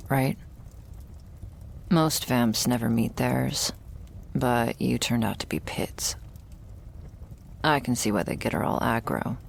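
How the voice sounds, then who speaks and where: A woman playing a wry, guarded young thrall in a vampire ASMR roleplay, gravelly and often slipping toward a whisper, with a dry, hesitant way of musing. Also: very deep voice, a little mellow, full character voice.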